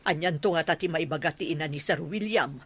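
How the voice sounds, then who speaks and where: A woman acting a stubborn, scolding house caretaker in an Ilocano radio drama, sharp-tongued in manner. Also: sits low, fairly quick, a little hiss or hum, lightly acted. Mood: defiant, indignant.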